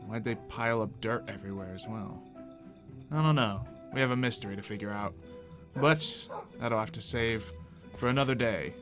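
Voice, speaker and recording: A man hosting a gaming video, giving casual commentary as he thinks out loud and explains what he is doing in a conversational way. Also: on the low side. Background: background music.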